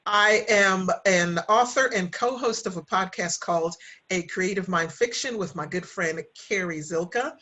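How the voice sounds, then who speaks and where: A woman's voice: mid-range voice, normal speed, sharp tone. A woman speaking conversationally as a guest writer on a podcast, introducing herself and her work.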